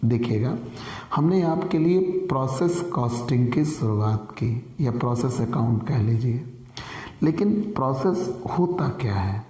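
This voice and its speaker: A man with a sore, hoarse throat, teaching an online commerce lecture in an earnest manner and calling viewers dear friends. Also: mid-range voice, dark tone, reverberant, in a large hall. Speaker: commerce tutor.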